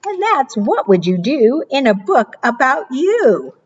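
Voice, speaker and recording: A woman librarian reading picture books aloud to preschoolers, with expressive, animated delivery, asking the children questions and praising their answers. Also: measured pace, husky, muffled recording. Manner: expressive, animated read-aloud with playful pitch jumps.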